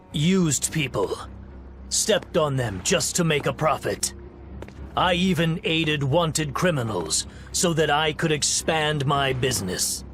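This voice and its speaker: A guilt-ridden man in a dramatic game. His fairly high voice moves slowly and haltingly, broken with self-condemnation, over faint music. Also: a bit bright. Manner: anguished, halting, repetitive. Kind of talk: dramatic English game voice acting.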